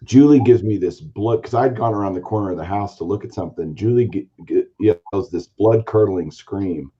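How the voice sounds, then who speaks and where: A man hosting a casual card-collecting chat show, telling a long story in a rambling, animated way with a hoarse, raspy voice. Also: fairly low pitch, normal speed, lo-fi sound. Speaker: podcast host, baseball card collector.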